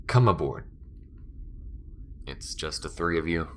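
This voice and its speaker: A man playing a gruff, dry, impatient space smuggler in an audio roleplay, guarded and a little hesitant. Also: a bass voice, lively intonation, slow pace, a little hiss or hum, full character voice.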